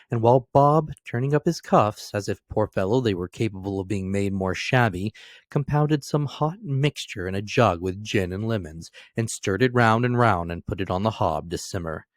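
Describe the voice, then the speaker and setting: A man's voice: fairly low pitch, no room sound, clean studio sound. A man narrating a classic story as a dramatic reading, lifting and dropping his voice for emphasis. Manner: expressive, rising and falling for emphasis.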